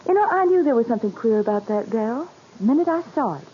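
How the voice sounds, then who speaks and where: An actress playing a headstrong, breezy doctor's wife in a 1940s radio mystery, teasing and sure of herself. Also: female voice, a little high, fast talker, lightly acted. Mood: eager, confident. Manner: animated, teasing, self-assured. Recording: dull, noisy old 1940s radio recording.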